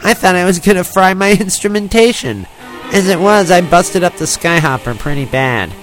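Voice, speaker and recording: A young, excitable male pilot for a story reading. The voice is pitched high and eager, full of boastful boyish enthusiasm, over music. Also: normal speed. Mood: excited.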